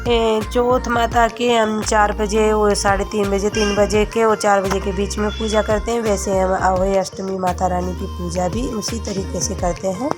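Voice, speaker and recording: A hoarse woman in her thirties from Rajasthan narrates her home vlog in a loose, chatty way, stopping often on filler sounds. Her pitch sits in the middle, and soft music runs quietly under her voice. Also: little pitch movement, normal speed, hardly any pauses. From Rajasthan, India. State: hoarse from a cold. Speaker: family vlogger.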